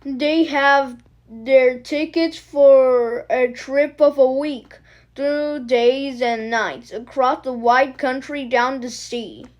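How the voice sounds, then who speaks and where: A woman reads a picture book aloud in a high voice. Her pace is slow, with short, deliberate phrases set apart by pauses. The delivery is expressive and emphatic, as storybook reading. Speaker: storybook reader.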